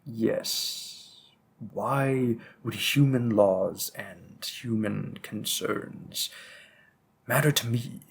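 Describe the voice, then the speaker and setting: A man's voice: lowish voice, extremely slow, creaky, breathy edge, full character voice. A man playing a centuries-old vampire, refined, condescending and pedantic, in a roleplay monologue spoken straight to the listener. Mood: musing, condescending. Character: centuries-old male vampire, refined, menacing, protective husband.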